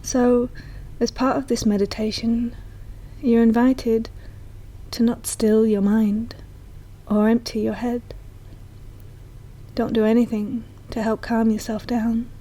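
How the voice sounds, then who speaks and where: A woman leads a guided meditation in a breathy, mellow voice. Her tone sits a little high and stays very even, with little rise or fall. She speaks softly and soothingly, with an unhurried delivery. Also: poor audio. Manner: soft, slow, soothing delivery.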